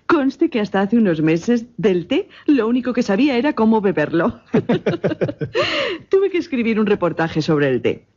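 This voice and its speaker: An actress playing a confident, witty and curious newspaper reporter in a radio drama, articulate and assured. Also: female voice, on the high side, huge pitch swings, measured pace, darkish tone, exaggerated voice. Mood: chatty, informative. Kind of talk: Spanish-language radio drama acting. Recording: muffled, lo-fi radio drama recording.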